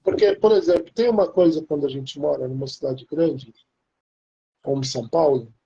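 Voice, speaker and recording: Brazilian man, very dark mellow voice, slightly high, rambling casual chat, dull lo-fi recording. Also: moderate pace, slightly rough. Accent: Brazilian Portuguese. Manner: rambling, many restarts and fillers.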